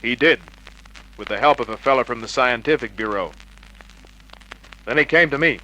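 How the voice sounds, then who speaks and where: A man's voice: medium pitch, normal speed, pushed and bright, close to an everyday voice. A male actor playing a wry private investigator who narrates his case in clipped, matter-of-fact Australian tones, in an old radio detective drama. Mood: narrating, tense. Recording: noisy vintage radio drama recording.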